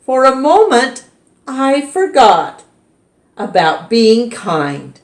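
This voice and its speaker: Young schoolboy, put on by a woman, high and childlike, roomy sound. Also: sing-song, drawn-out words, full character voice. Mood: sheepish. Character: young schoolboy, quick to anger then sorry. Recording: roomy echo.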